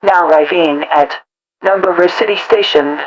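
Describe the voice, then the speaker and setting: A woman reading scripted automated transit announcements in a formal, measured, synthetic-announcer manner. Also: lowish voice, poor audio.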